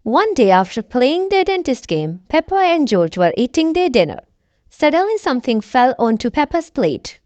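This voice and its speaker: A woman's voice: high voice, very animated, moderate pace, darkish tone, dry recording. A woman reading a children's bedtime story aloud as a storyteller.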